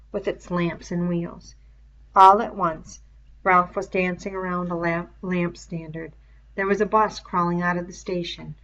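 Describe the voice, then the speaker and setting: A woman narrating a novel aloud in an expressive, literary storytelling style. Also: lowish voice, lo-fi sound.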